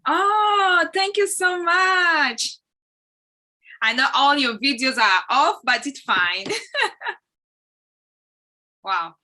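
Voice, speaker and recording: A woman's voice: sits high, animated, drawn-out words, pauses often, bright, forceful tone. A young woman, hosting a live video-call session in a chatty, welcoming way with lots of fillers. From Uganda. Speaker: host. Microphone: laptop or phone mic on a video call.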